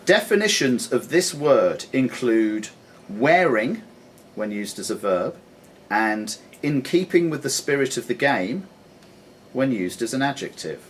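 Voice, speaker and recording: A man acting as quizmaster, reading questions aloud in a deliberate, formal announcing way in a club room. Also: mid-range voice, big pitch swings. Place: sports and social club room. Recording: noisy live recording in club room.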